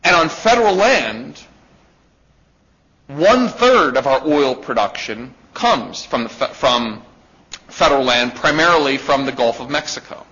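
A man with a loud voice giving a live talk to an audience, emphatic and peppering his points with "right?". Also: medium pitch, animated, measured pace. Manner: emphatic, with rhetorical "right?" checks. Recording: dull lo-fi recording.

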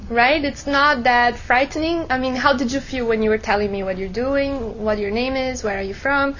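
A woman's voice: fairly high pitch, fairly bright tone, lo-fi sound, a little hiss or hum. Encouraging woman teaching a classroom workshop. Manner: encouraging, asks rhetorical questions. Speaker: law school instructor.

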